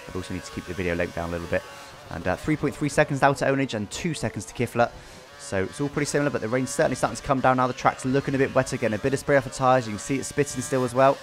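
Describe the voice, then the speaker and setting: A man's voice: mid-range voice, rapid-fire, few pauses, soft background music. A man giving casual, explanatory commentary over his own racing game race, recapping as he goes.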